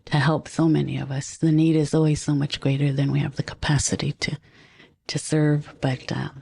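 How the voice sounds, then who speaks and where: A woman podcast host with a heavily gravelly, mellow voice. She speaks slowly and respectfully, in measured phrases, now and then slipping a Spanish word into her English. The tone is husky and soft-edged. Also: deep voice, level tone. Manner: drops Spanish words into English. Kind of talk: slow, heartfelt introduction.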